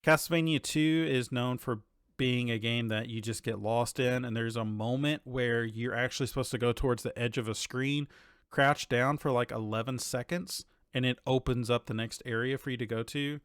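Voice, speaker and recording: Male podcast co-host, mid-pitched, casual halting chat with some swearing, very dry close sound. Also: fairly even intonation, clear, resonant tone, dry recording.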